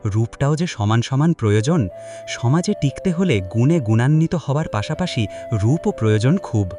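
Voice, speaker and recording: A man narrating a scripted dramatic love story as a storyteller. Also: mid-range voice. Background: music.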